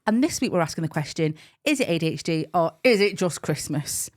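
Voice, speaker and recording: Scottish woman in her twenties or thirties, lower pitch, casual and giggly, co-host banter. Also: lively intonation, fairly quick. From Scotland. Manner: giggly, chatty, fond teasing. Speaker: podcast co-host. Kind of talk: casual couple's podcast chat.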